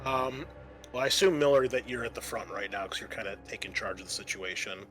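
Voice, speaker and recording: A man running a tabletop game, describing scenes in a halting, conversational way. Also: lowish voice. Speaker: game master. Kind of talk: improvised tabletop game narration.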